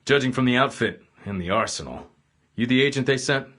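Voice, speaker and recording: A man's voice: medium pitch, expressive, fairly quick, a bit bright. An actor voicing a brusque male embassy guard in a video game, speaking in a curt, officious way.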